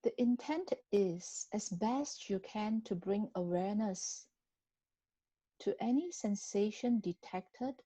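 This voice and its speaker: A woman guiding a body scan meditation in a gentle, unhurried manner with long pauses between phrases. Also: mid-range voice, slightly rough, no room sound. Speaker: meditation guide.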